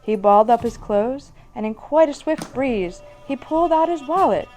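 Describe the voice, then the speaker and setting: A woman's voice: high-pitched, expressive, moderate pace, a little mellow, rough recording. A woman host narrating a rhymed ghost story in storybook style.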